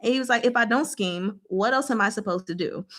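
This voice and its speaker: Chatty, animated woman discussing a book. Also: on the high side, a little fast. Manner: animated, giggly, slangy retelling with acted-out dialogue. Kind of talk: casual chatty book discussion, retelling scenes.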